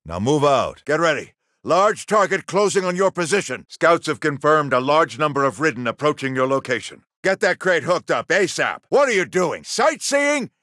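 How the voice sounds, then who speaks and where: An actor playing a stern, middle-aged American general in a video game, giving orders with clipped military authority. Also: male voice, fairly high pitch, very animated, normal speed, hardly any pauses, pushed and bright, no echo, clean studio sound. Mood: impatient, urgent. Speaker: military commander. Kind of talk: video game mission briefing dialogue.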